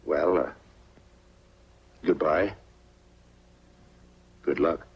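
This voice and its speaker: Very low male voice, unhurried, regretful hesitant man, husky, 1940s noir drama, muffled soundtrack. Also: full character voice. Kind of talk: 1940s film noir acting. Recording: dull, band-limited 1940s film soundtrack.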